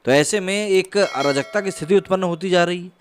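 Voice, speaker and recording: A man's voice: high-pitched, brisk, a little hiss or hum. A man presenting online news commentary in an explanatory way, mixing read reports with conversational asides.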